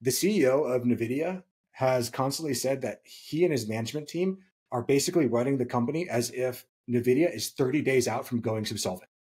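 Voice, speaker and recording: Man, husky, medium pitch, emphatic explainer, podcast co-host. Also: a little fast, hardly any pauses, darkish tone. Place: small home room, indoors.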